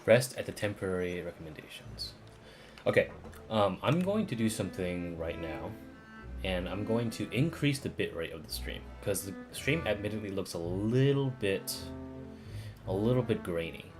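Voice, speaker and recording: Low-pitched man streaming, music behind. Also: animated, moderate pace. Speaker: game streamer. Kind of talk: casual livestream chat and reading game dialogue aloud. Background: game music.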